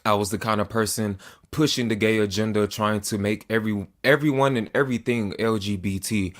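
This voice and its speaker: A man in his twenties speaks straight to the camera in an earnest, measured way. His voice sits a little on the low side, and he sometimes stumbles and restarts a phrase. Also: fairly even intonation. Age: 20s. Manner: earnest, deliberate, with small restarts. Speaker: political commentator.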